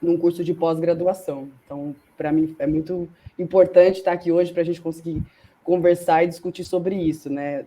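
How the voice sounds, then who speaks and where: A Brazilian woman talks as a guest teacher on a panel. Her voice is mellow and fairly flat in melody. She keeps it informal and conversational. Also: low voice. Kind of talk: informal self-introduction on a panel.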